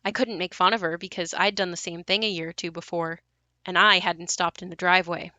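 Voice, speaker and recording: Bright-voiced actress as a wry young woman narrator. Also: fairly low pitch, fairly even intonation, a little fast, dry recording, dull audio. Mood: wry, reflective. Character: young woman recalling her haunted family home, wry, reflective. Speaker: narrator and lead of an audio play. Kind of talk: first-person dramatic monologue.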